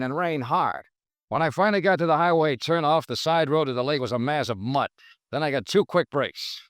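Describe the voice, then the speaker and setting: An actor playing a tough, loyal insurance investigator in a 1950s American radio drama, crisp and matter-of-fact. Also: male voice, medium pitch, fairly bright tone, dry recording, studio quality, close to an everyday voice. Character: tough, loyal freelance insurance investigator, middle-aged man. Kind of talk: 1950s radio drama acting with first-person narration. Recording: vintage 1950s radio recording.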